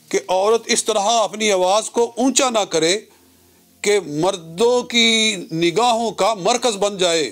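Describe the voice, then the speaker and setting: A man giving a religious lecture as an Islamic cleric, citing scripture in short, measured, didactic phrases. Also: sits high, fairly bright tone.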